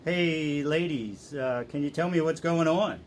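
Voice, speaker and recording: A man's voice: on the high side, unhurried, slight background noise. A man, a pastor, hosting and presenting a scripted puppet show for children.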